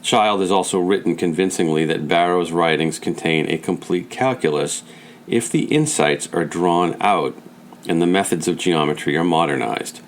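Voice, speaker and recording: Man, low-pitched voice, audiobook reader, scripted nonfiction narration. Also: normal speed, slight background noise.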